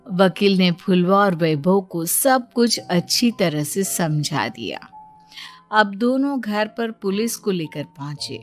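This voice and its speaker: A female storyteller reads a scripted tale. Her mid-pitched voice moves at a slow, measured pace, with light music playing underneath. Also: very animated.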